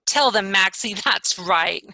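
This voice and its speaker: A woman playing a concerned, chatty young 1940s best friend, expressive and full of advice, in a play reading over a video call. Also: mid-range voice, slow pace, no room sound, full character voice. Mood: supportive. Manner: expressive, advising.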